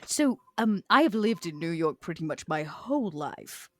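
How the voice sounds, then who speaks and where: A woman playing a poised, probing vampire outsider, speaking in a deliberate, wryly formal, coaxing way in a roleplaying game. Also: fairly low pitch, sing-song, no room sound. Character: poised, probing, socially outcast female Toreador vampire. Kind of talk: improvised in-character roleplay.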